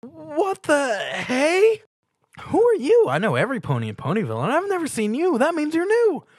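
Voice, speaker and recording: Man putting on a squeaky, hyper girly cartoon pony. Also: huge pitch swings, normal speed, dry recording, studio quality, heavily acted. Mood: excited, surprised. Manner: gushing, exclamatory. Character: bubbly, hyperactive female cartoon pony, excitable and chatty. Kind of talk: dramatic fan fiction reading.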